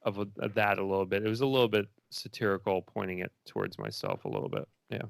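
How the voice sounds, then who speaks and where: A man's voice: sits low, big pitch swings, fast talker, creaky, no echo. A man answering interview questions as a filmmaker in a rambling, informal way full of filler phrases. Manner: rambling and informal, many likes and you knows.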